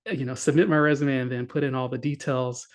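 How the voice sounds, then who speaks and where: Man speaking in a flat monotone. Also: medium pitch, fast. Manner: hesitant, many fillers and restarts; earnest, encouraging. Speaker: conference speaker. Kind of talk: conference talk.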